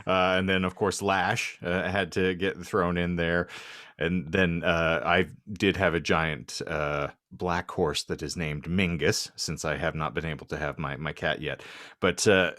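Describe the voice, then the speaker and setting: A man's voice: deep voice, fairly even intonation, a little slow, fairly bright tone, no echo, studio quality. A man co-hosting a casual comedy podcast, talking in a wry, self-deprecating way with wordy, mock-formal phrasing. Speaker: podcast co-host.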